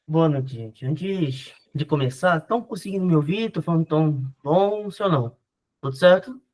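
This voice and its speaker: A man's voice: fairly high pitch, normal speed, a little mellow. A man from Rio de Janeiro giving an informal history lecture over a video call, chatty, with frequent restarts and tag questions. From Rio de Janeiro, Brazil. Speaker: history teacher giving a talk. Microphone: video call microphone.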